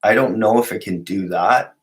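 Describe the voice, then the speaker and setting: A man hosting a relaxed live stream, answering viewer questions. He's a little sick, which drops his voice lower than usual, and he rambles with fillers and restarts. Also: moderate pace.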